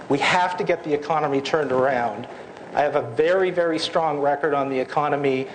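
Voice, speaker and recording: A man's voice: fairly high pitch, a little hiss or hum, rough recording. A man running for office, answering forum questions in a confident, persuasive way and checking in with "right?" tags. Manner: assertive and persuasive, with rhetorical tags. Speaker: school board member running for office. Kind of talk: unscripted campaign answer. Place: public forum hall.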